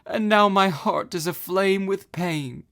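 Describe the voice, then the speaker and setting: A man voicing a love-struck, tearful young goddess in a high, whiny falsetto. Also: animated, unhurried, creaky, full character voice. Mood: weepy pleading.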